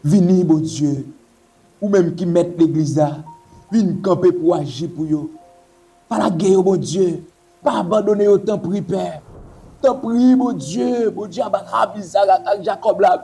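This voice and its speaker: Casting: high, mellow-voiced man, fervent pleading prayer, soft music under. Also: a little slow. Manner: repetitive, pleading, intoning invocations. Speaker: prayer leader.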